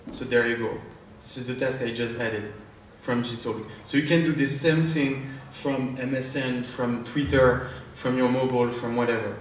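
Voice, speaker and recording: A man with a French accent, a startup founder giving a live product demo on stage, hesitant, with many fillers and restarts. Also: mid-range voice. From France. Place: large conference hall. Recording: echoey hall from far off, faint noise.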